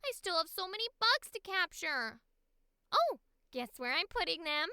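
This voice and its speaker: Screechy, very high-pitched mischievous little girl. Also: normal speed, no echo, studio quality, exaggerated voice. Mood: mischievous excitement. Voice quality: screechy, squealy. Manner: whiny, excitable, childlike. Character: mischievous cheerful hyperactive little orphan girl. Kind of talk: animated character audition read.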